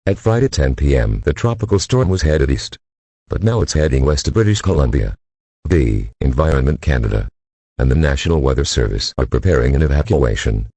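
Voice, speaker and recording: An extremely deep-voiced man reads a scripted emergency alert. His tone is dark and husky. The delivery is formal and stilted. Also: animated, a little fast, dry recording. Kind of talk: scripted emergency broadcast announcement.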